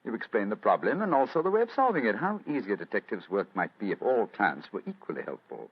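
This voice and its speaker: An actor playing a courtly, amused older British gentleman detective in a radio drama, genteel and wry, with hesitant murmurs. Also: fairly low pitch, big pitch swings, fast talker, breathy edge, exaggerated voice. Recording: dull lo-fi radio drama recording.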